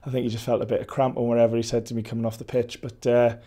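A Welsh man in his forties, a football head coach, giving a measured post-match interview with many restarts and fillers. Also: mid-range voice, little pitch movement, dark tone. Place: indoor press backdrop at a stadium.

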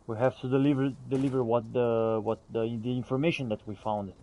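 A man's voice: mid-range voice, steady tone, fairly quick, mellow, muffled recording. A man playing a fantasy role-playing game, talking in character to his companions as he comments. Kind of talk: improvised in-character gameplay commentary.

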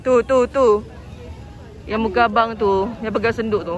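High-voiced chatty Malaysian man at a market. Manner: chatty, playful, quick asides. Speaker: vlogger. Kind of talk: casual chat while filming. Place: crowded outdoor market. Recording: busy crowd behind.

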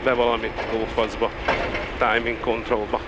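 A man's voice: fairly low pitch, level tone, muffled recording. A man calling rally pace notes as co-driver in short, clipped, urgent instructions inside a moving car. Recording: loud roaring car engine noise. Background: race car engine and driving noise.

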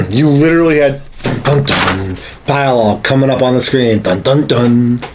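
A man in his thirties chatting informally as a podcast co-host, halting but emphatic, in a small room. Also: mid-range voice, lively intonation, background noise. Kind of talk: casual chat about games and films. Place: small home studio room, indoors.

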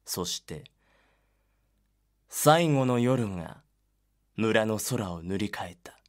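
A man narrating a dark voice drama as a solemn, grave storyteller. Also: fairly low pitch, expressive. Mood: grave, ominous. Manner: grave, measured.

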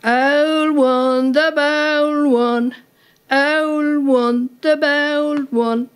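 Lady doing a bratty street kid, high jeering singsong, drawn out, storybook character. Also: steady tone, drawn-out words. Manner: jeering sing-song. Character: two cheeky, taunting young street boys.

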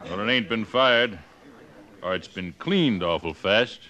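Gruff, sharp-voiced western sheriff. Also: male voice, on the low side, a little slow. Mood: suspicious. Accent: American Western. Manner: blunt, commanding. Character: gruff, suspicious, bossy male sheriff in old West. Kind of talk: old-time radio western acting.

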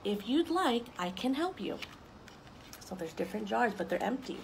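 A young woman reading picture books aloud to children, expressive and explaining things simply as she goes. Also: on the high side, normal speed, creaky, slight background noise. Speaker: story time reader for children. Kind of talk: children's picture book read-aloud with asides. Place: outdoors on a wooden deck among trees.

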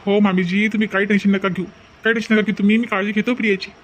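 A man voicing an obliging village fellow at a cartoon wedding, hurried, soothing and repetitive, with a Varhadi Marathi accent. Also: sits high, level tone. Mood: reassuring. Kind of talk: homemade cartoon family drama. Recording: dull, lo-fi audio.

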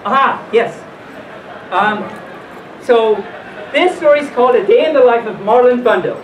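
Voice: medium pitch, animated, unhurried. A storytime host reading aloud to a live audience in a playful way, with casual asides. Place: hotel function room at a convention. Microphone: distant camera microphone, speaker in a full mascot costume. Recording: roomy, distant, noisy camera audio.

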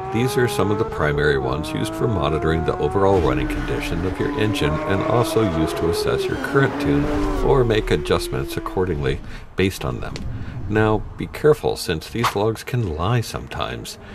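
A middle-aged man giving a scripted technical lesson in a steady, explanatory way with dry jokes. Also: sits low, moderate pace. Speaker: automotive tuning instructor. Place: dark studio set with purple lights. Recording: music bed underneath.

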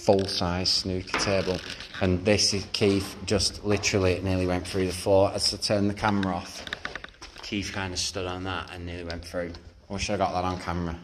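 A man's voice: low voice, little pitch movement, moderate pace. A British man chatting casually and in slang to viewers and friends as an urban explorer filming on a handheld camera. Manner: informal, slangy, addressing viewers. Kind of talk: casual chat while exploring. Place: indoors, corridors and rooms of an abandoned building. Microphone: handheld action camera. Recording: echoey large empty building, noisy handheld camera.

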